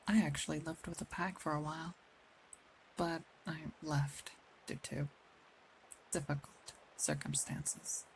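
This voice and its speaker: A woman voicing a caring, protective female werewolf in an intimate ASMR roleplay, speaking gently and confidingly with pauses. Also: sits low, gravelly, a little hiss or hum, low-quality recording. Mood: wistful, subdued. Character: caring, protective female werewolf, older than she looks, wistful. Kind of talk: ASMR roleplay, intimate scripted acting addressed to one listener.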